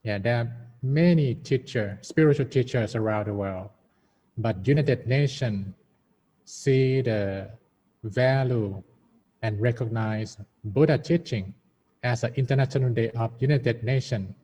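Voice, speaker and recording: A Buddhist monk with a Thai accent who gives a measured, formal talk to a webinar over a video call. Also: male voice, medium pitch, dark tone, in a large hall. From Thailand. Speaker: Buddhist monk, guest speaker. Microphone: computer mic on a video call. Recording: muffled video-call audio with faint hiss.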